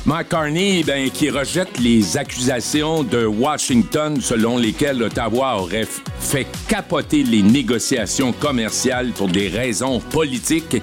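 A man's voice: medium pitch, a little slow, hardly any pauses. A man with a Quebec French accent giving an informal, conversational morning radio news briefing. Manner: informal, addresses co-host directly. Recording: music clearly playing behind voice. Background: instrumental music.

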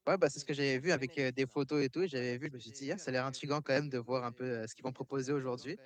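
A man's voice: mid-range voice, steady tone. Hesitant male interviewer. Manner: hesitant, halting questions. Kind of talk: radio interview questions.

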